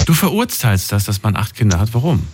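A man's voice: on the low side, sing-song, brisk, noisy background. A man hosting a call-in talk show, speaking in a casual, conversational, questioning way with callers. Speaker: radio call-in show host.

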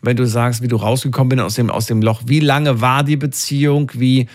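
Guy in his late 30s hosting a radio call-in, chatty, asks lots of probing questions, normal mid pitch. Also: level tone, fairly quick, noisy background. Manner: engaged, interjecting, probing with questions. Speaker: radio call-in show host. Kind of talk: conversational live radio talk.

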